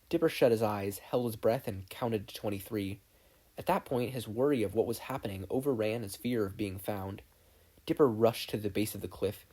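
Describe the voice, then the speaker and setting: A podfic narrator reading a scripted story in an expressive way. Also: mid-range voice, breathy edge.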